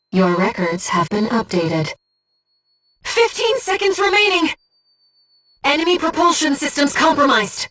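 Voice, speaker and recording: A woman voicing a gentle, earnest disembodied being in a video game, guiding a pilot with composed, precise, softly formal delivery. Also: medium pitch, distant mic, low-quality recording. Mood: urgent. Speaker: mission support operator. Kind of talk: scripted video game voice acting.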